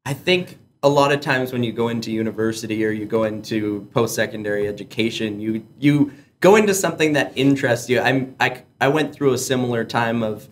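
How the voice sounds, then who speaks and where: A man with a mid-range voice hosts a relaxed interview. He asks open questions and drifts into his own stories. A faint rumble sits under the recording. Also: brisk, few pauses. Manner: conversational, shares own stories. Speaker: podcast host. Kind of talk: casual interview conversation.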